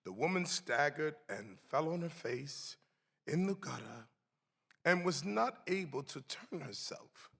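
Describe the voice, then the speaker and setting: A man giving a solemn, emphatic dramatic reading of a historical text in a deliberate, theatrical way. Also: fairly high pitch, lively intonation, gravelly, a bit bright, slightly rough. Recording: echoey hall with long reverb.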